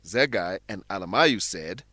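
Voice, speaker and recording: Very animated male educational radio host. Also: sits low, a little slow, no room sound. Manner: instructional, explanatory. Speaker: host and English teacher. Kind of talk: scripted narration and vocabulary lesson.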